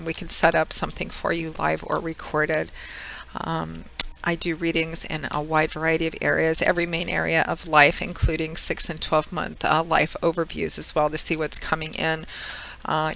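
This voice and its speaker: A woman giving a chatty tarot reading, her voice hoarse and a little froggy from a cold. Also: sharp tone, a little hiss or hum, low-quality recording. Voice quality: slightly froggy, squeaky. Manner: chatty, with many fillers. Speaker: tarot reader. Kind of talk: casual monthly tarot reading.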